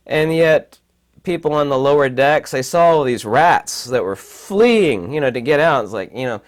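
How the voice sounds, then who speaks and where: A man with a raspy, hoarse voice while recovering from illness, giving rambling, emphatic commentary with many fillers. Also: mid-range voice, animated, normal speed. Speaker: channel host. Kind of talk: casual commentary to camera.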